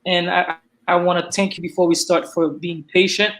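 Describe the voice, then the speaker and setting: A man's voice: high-pitched, level tone, normal speed, a bit bright. Chatty Haitian man in his thirties. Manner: chatty, repeats words when starting a thought. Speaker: guest. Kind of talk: casual video-call interview.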